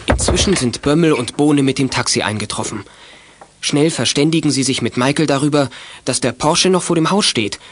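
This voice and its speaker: A man's voice: mid-range voice, fairly bright tone, dry recording. A man narrating a youth radio play in scripted, dramatic storytelling style.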